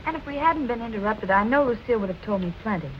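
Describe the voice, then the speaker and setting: An actress playing a stubborn, determined young amateur sleuth, brisk and self-possessed, in 1940s film noir style. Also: female voice, fairly high pitch, lively intonation, lightly acted. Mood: intent, probing. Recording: noisy old film soundtrack.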